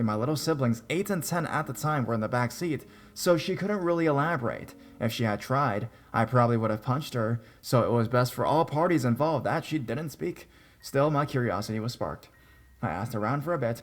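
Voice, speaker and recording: A man's voice: mid-range voice, lively intonation, a little fast. A man narrating true horror stories sent in by readers, reading them aloud with wry, sarcastic asides.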